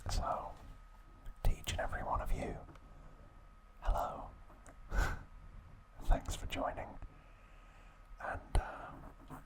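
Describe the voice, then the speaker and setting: A man speaking in a breathy, hushed ASMR whisper, in short halting phrases as he hosts a relaxed video.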